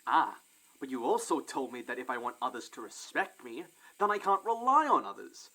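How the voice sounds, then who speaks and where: A man's voice: mid-range voice, big pitch swings, moderate pace, sharp tone, poor audio. A man playing a determined male security guard in an audio play, reasoning insistently and trying to placate. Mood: defiant.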